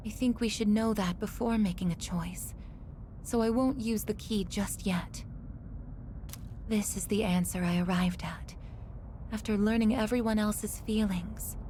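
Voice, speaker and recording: An actress voices a young female android, gentle and earnest, in game drama. She speaks politely and carefully at a middle pitch, over faint background sound. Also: unhurried, a little hiss or hum, close to an everyday voice. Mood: earnest, resolute.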